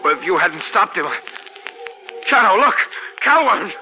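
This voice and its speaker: A man's voice: high-pitched, sing-song, normal speed, sharp tone, heavily acted. A man in a 1940s radio drama playing a frightened victim who pleads urgently and melodramatically. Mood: shaken. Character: frightened pleading man facing a murderous old friend. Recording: noisy old 1940s radio recording.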